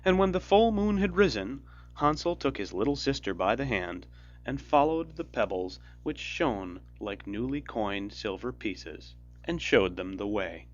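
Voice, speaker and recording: A man reads a fairy tale in a measured storybook style. His pitch rises and falls in a very lively way, with music underneath and slightly muffled sound. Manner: measured, expressive storybook delivery. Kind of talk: scripted fairy tale narration.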